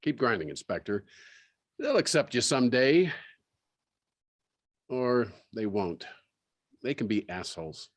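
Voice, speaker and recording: A man's voice: mid-range voice, sing-song, a little slow, long pauses. An actor playing a brusque, weary old-school police superintendent in his fifties, dry and impatient.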